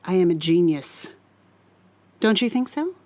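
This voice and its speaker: A woman performing a scripted dramatic monologue in a deliberate, theatrical and menacing manner. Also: lowish voice, very animated, a little mellow. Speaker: writer reading own monologue.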